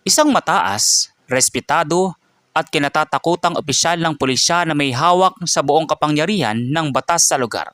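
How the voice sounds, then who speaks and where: Man, story narrator, slightly high voice, dry close sound. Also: normal speed, fairly bright tone, no room sound.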